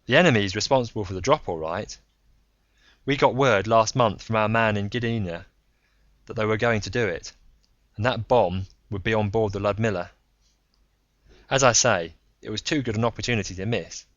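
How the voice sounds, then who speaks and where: A man as a calm, candid explaining agent. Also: lowish voice, moderate pace, pauses often, dry recording, dull audio, close to an everyday voice. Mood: explanatory.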